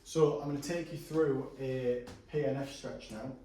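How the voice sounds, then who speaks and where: A man working as a personal trainer, calmly talking a client through stretches in a gym, his voice a little hoarse. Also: medium pitch, moderate pace, a little hiss or hum. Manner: relaxed, reassuring instructions with frequent fillers. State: going hoarse. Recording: echoey room from a distance.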